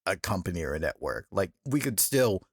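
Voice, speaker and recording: A man's voice: low-pitched, sing-song, fairly quick, breathy edge, no room sound, studio quality. A man from Atlanta hosting a podcast interview, talking in an easygoing way with many fillers and easy laughs. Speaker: podcast host. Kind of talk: casual interview chat.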